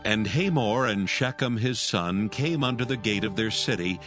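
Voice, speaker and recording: A man reading scripted scripture narration in a measured, solemn manner. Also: fairly low pitch, expressive, a bit bright, faint music behind.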